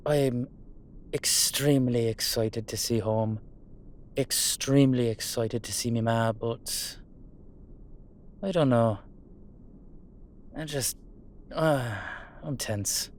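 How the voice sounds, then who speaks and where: A man playing a gruff but fond Irish dullahan in a roleplay audio drama, speaking in a colloquial, hesitant, sweary way. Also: medium pitch, takes it slowly, long pauses, slight background noise, close to an everyday voice. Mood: tense, grumbling.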